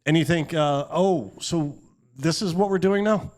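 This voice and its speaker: A man's voice: fairly high pitch, measured pace, darkish tone. A man co-hosting a comedy podcast, telling stories in a wry way and acting out imagined reactions. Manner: wry, acts out imagined quotes. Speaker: podcast co-host telling the story. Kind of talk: comedic storytelling commentary.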